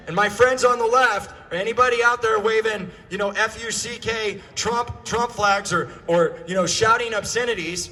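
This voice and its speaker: American male activist, high and forceful voice, impassioned rally oratory with emphatic, projected phrasing. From United States. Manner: emphatic, repeats key phrases for effect; projected, often shouting. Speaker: political activist addressing a rally.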